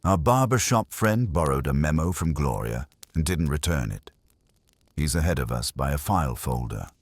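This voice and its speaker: A man's voice: very deep voice, sing-song, normal speed, no room sound, heavily acted. A man playing a brash private investigator in an audiobook, glib and wry. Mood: glib, probing. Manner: glib, smooth-talking, wry.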